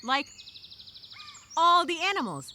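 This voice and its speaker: A woman's voice: very high-pitched, big pitch swings, slow, bright, forceful tone, pitched above the performer's own voice, full character voice. A woman playing a frightened, exasperated castaway, talking through a journal entry in a theatrical, emphatic, rambling dramatic monologue. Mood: alarmed, frantic. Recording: clear background noise with birdsong.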